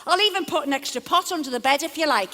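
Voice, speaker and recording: A woman acting on stage as a brisk, kindly but bossy working-class mother, with a colloquial manner. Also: high-pitched, bright, forceful tone. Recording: echoey theatre hall.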